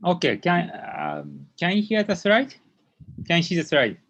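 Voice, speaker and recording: A man from Japan with a Japanese accent presents slides as a company founder in an online meeting, speaking haltingly with many hesitant fillers. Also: sits high, wildly swooping pitch, a bit bright, no echo. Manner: halting, hesitant delivery with frequent long fillers. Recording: dull, lo-fi online meeting audio.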